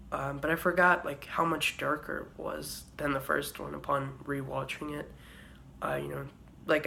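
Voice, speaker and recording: Hoarse young man with a gravelly voice. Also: a little high, bright, forceful tone, slight background noise. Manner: rambling, frequent ums and uhs. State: hoarse from a cold, sore throat. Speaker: vlogger. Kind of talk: casual vlog review.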